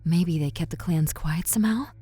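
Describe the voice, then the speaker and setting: A woman playing a caring, cautious and scholarly young woman in an audio roleplay drama, with a gentle, pensive manner. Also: lowish voice, normal speed, husky, no echo, soft background music.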